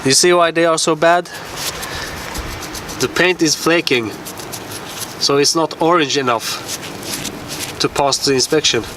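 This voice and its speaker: A man's voice: fairly high pitch, measured pace, long pauses. A man giving casual running commentary as he repairs his car outdoors, swearing freely and talking to himself. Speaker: vlogger doing car repairs. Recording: loud outdoor noise.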